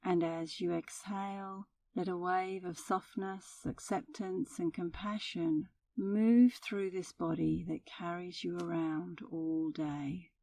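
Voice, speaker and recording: Female meditation guide: lowish, warm and relaxed voice, unhurried and soothing, gentle instructional narration. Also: gravelly, distant mic, rough recording.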